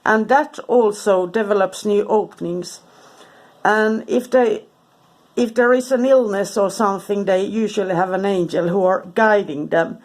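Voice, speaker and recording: A woman speaks casually and hesitantly, with frequent ums and restarted sentences. She has a cold and sounds stuffy and sniffly. Her pitch sits in an ordinary middle range. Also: unhurried, a little mellow. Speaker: spiritual content creator. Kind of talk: casual informal talk to camera.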